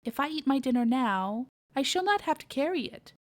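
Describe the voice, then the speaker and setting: A woman's voice: sits high, sing-song, normal speed, pitched above the performer's own voice. A woman voicing a cheerful, innocent young male pig who squeals in a storybook reading. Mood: innocent, chatty. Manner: squealing exclamations, childlike phrasing.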